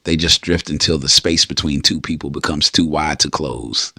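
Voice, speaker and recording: A man narrating a late-night romance story in a slow, hushed, intimate way. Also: a bass voice, gravelly, slightly rough, no echo, studio quality. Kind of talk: scripted storytelling.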